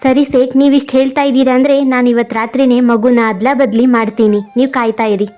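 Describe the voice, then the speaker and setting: A woman voicing a scheming old village midwife in a cartoon, brisk and secretive. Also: high-pitched, steady tone, warm tone, faint music behind, natural voice.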